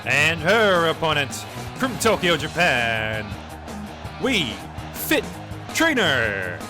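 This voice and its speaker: A man announcing wrestlers with slow, drawn-out ring introductions. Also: on the high side, big pitch swings, over music.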